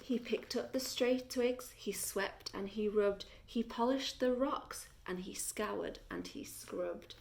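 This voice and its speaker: Slow, expressive woman reading a storybook. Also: mid-range voice, creaky, slight background noise. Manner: measured, deliberate, with dramatic pauses. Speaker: teacher reading a story to children.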